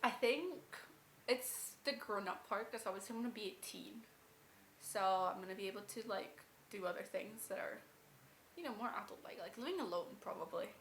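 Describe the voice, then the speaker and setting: A woman's voice: mid-range voice, normal speed, a little hiss or hum. An eighteen-year-old woman chatting casually and with giggles about school and growing up. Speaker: school leaver interviewee. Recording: echoey room with faint music.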